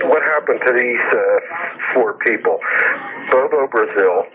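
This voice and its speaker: A man calling a radio show to ask polite questions in a halting, hesitant way. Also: medium pitch, expressive, background noise. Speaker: first-time radio caller. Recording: tinny phone line on old radio.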